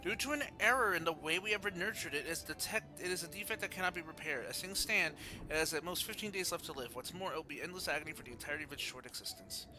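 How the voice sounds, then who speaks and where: A man voicing a solemn, compassionate fantasy noble in a formal, grave, measured way for a game story. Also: on the high side, music in the background, close to an everyday voice, poor audio. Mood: grave, imploring.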